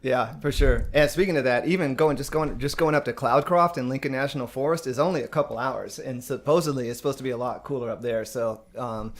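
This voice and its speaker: A man's voice: mid-range voice, fast, hardly any pauses. A man from Texas hosting a live stream chat, talking casually with frequent "um" fillers. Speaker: host.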